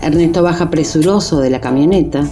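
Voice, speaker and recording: A woman narrating a scripted radio drama with measured storytelling delivery. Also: sits low, music in the background.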